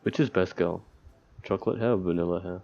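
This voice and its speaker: Low creaky guy doing a let's play, reading game text out loud, dull lo-fi mic. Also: a little fast, soft background music. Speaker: let's player reading a visual novel aloud. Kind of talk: casual gaming commentary mixed with reading game text aloud.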